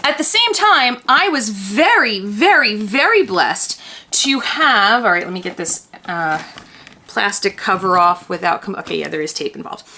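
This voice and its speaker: Bright-voiced woman in her fifties. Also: medium pitch, expressive, moderate pace, slight background noise. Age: 50s. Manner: chatty and earnest, many restarts and asides. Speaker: doll collector vlogger. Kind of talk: casual chat while unboxing.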